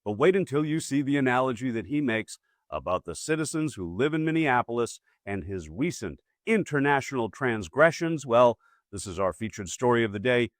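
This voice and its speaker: Male political commentary host, wry and punchy, lively swings in pitch, dry close sound. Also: normal speed, no echo. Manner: wry, punchy, colloquial asides.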